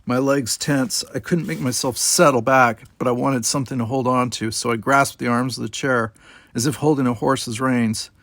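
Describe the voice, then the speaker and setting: A man's voice: medium pitch, fairly even intonation, normal speed, a bit bright, no room sound. A man reading dramatic first-person scripted narration as an audiobook narrator.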